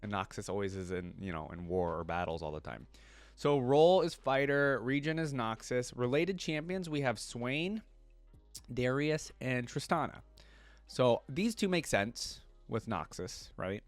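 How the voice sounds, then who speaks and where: A man's voice: mid-range voice, lively intonation, unhurried, dry recording. A man hosting a reaction video, reading lore aloud in a casual way with musing asides. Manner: musing asides between read-aloud passages.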